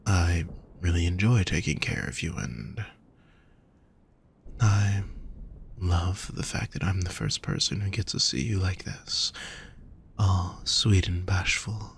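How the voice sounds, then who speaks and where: A man voicing a gentle, teasing, affectionate demon in an intimate audio roleplay, speaking softly and tenderly to the listener. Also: deep voice, lively intonation, creaky, a little hiss or hum, full character voice. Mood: reassuring, sincere. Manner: soft, unhurried, tender teasing.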